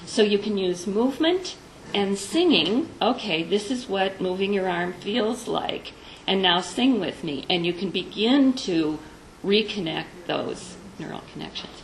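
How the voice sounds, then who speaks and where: A woman with a gravelly edge to her voice leads a group discussion, explaining ideas and passing the turn to others by name. Faint noise runs underneath. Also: mid-range voice, lively intonation, a little slow, low-quality recording. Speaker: discussion leader.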